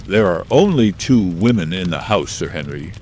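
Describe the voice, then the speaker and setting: Male performer, solemn formal butler, deep pitch, measured, evasive, audiobook drama. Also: expressive, darkish tone, a little hiss or hum. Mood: guarded, solemn. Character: grave, evasive butler, a formal adult man.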